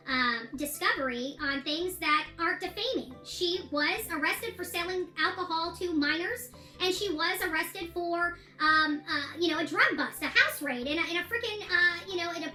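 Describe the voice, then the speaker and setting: A woman's voice: high voice, pushed and bright. An American woman speaking casually and giving her opinions in a video diary, often repeating herself for emphasis. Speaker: self-represented litigant recounting her court case. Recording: echoey room with music behind.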